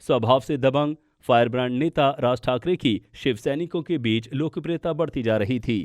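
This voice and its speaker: A man's voice: medium pitch, normal speed, a little mellow, no room sound. A man narrating a scripted political explainer as a news podcast presenter.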